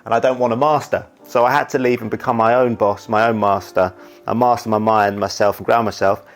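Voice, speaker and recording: A man's voice: fairly low pitch, fairly even intonation, a little fast, faint music behind. A young man talking candidly about his life, rambling and often saying "you know". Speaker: YouTuber and wedding videographer. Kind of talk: reflective casual monologue.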